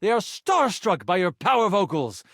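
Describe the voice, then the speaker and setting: A man playing a living twenty-sided die as an excitable, theatrical game master narrating a fantasy tabletop adventure in a video game. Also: high voice, moderate pace, dry recording, studio quality, full character voice. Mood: playful, upbeat. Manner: dramatic, expressive, playful. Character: excitable male D20 die personified as dramatic game master.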